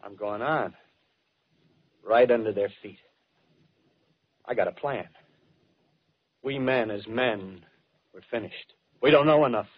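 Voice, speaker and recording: An American actor playing a fanatical, rough-spoken soldier survivor in a 1930s radio drama, talking in clipped, insistent slang. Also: male voice, lowish voice, slow pace, pauses often. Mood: fierce, insistent. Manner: colloquial tough-guy talk, clipped phrases, insistent. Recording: dull, muffled 1930s radio broadcast recording.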